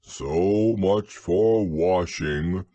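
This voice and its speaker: A man voicing a gloomy, disapproving male donkey from a storybook, grumbling in a drawn-out way. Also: low-pitched, dark tone, breathy edge, no room sound, full character voice. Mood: glum, grumbling.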